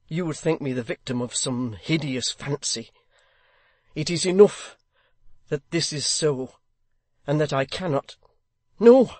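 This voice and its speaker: A man playing a haunted, urgent gentleman. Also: fairly high pitch, no room sound. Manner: urgent, emotional, formal. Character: troubled, haunted gentleman, middle-aged, intense. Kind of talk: audiobook character voice.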